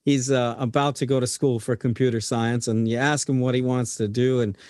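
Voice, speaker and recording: A man's voice: medium pitch, level tone, brisk, a little mellow, dry recording, clean studio sound. A middle-aged man hosting a podcast, speaking in a conversational interviewing style. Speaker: podcast host.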